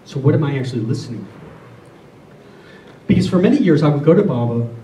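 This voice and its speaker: A middle-aged or older man giving a reflective spiritual talk to a group, speaking earnestly and deliberately, often repeating phrases. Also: a little high, lively intonation. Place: room with a listening group. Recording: roomy, distant mic, faint noise.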